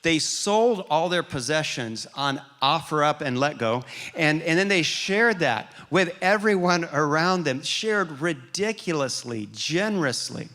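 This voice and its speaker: A man's voice: fairly high pitch, measured pace. A man who grew up in Liberia as an American, preaching a storytelling sermon with frequent restarts. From grew up in Liberia, West Africa, as an American. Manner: storytelling, animated, with repeated restarts. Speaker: pastor.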